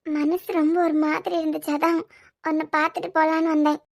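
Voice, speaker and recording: A woman's voice: high-pitched, flat delivery, fairly quick, creaky. A woman playing a tearful young woman in love, pleading with the man she loves. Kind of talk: romantic audio drama acting.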